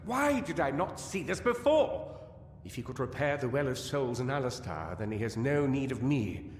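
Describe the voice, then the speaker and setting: An actor playing a weary, guilt-ridden, paranoid gnome scholar in a fantasy video game, theatrical and anguished, halting as he muses. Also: mid-range voice, very animated, slight background noise, natural voice. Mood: fearful realisation. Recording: echoey cavern-like reverb over music.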